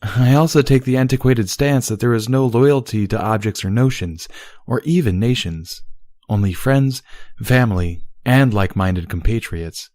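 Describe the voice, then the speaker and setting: A storybook pony princess, voiced by a man, speaks well above the reader's natural pitch. She is formal and dutiful, arguing her case in measured, stilted phrases. The sound is dry. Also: a little mellow, dry recording, close to an everyday voice. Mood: earnest. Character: formal, dutiful, argumentative immortal pony princess, younger sister. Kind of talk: dramatised storybook reading.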